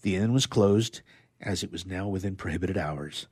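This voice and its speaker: Male narrator for a literary excerpt, lower register, rough-grained tone, measured and expressive delivery. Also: dry recording, clean studio sound. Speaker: radio player reading a literary excerpt. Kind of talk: dramatic audiobook-style reading of a novel excerpt.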